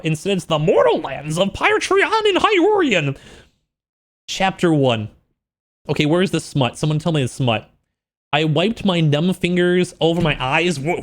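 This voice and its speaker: A man with a high voice talks like a casual livestreamer reacting to things. His pitch moves around a great deal, giving him a very animated sound. He is slangy and joking, with an incredulous edge. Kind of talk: casual livestream reaction with book read-outs.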